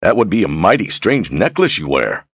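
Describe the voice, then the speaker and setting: A man's voice: low-pitched, big pitch swings, moderate pace, creaky, fairly bright tone, husky, no echo, voice pushed up, exaggerated voice. A man voicing curious, credulous rustic tavern regulars with folksy, plain-spoken talk, as in an audiobook. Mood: intrigued. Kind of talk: audiobook character acting.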